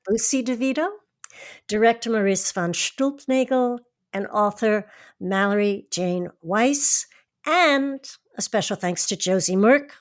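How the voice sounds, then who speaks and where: A woman podcast host, speaking in a presenter's style as she interviews guests and reads announcements. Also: mid-range voice. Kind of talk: hosting an interview and reading scripted credits.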